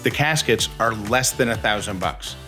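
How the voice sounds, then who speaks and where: Man, bright crisp-edged tone, wry joking podcast co-host, casual chat, music behind. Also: on the low side, moderate pace.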